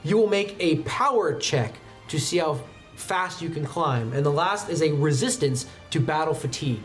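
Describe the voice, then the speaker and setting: A man's voice: fairly high pitch, animated, normal speed, soft background music. A man narrating a tabletop role-playing game as game master.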